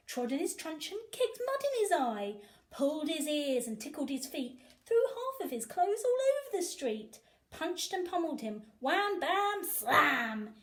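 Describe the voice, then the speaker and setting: High, lively woman storyteller. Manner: animated, emphatic, sing-song on rhymes. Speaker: storyteller reading to children. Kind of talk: expressive picture book read-aloud.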